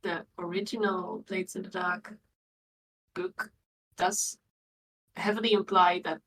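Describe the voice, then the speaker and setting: A woman's voice: on the low side, steady tone, slow, low-quality recording. A woman talking casually in a group as a tabletop roleplay player, with faint noise in the recording. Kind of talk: casual group worldbuilding chat.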